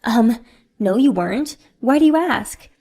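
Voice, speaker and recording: A woman voicing a spoiled, bratty grown woman who talks in a loud, whiny, indignant way. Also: fairly high pitch, animated, unhurried. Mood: flustered.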